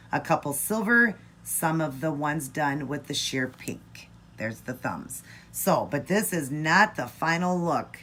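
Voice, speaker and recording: A hoarse woman recovering from laryngitis, chatting through a nail art tutorial. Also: deep voice. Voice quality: raspy. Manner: friendly, chatty, explains each step. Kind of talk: casual tutorial chat.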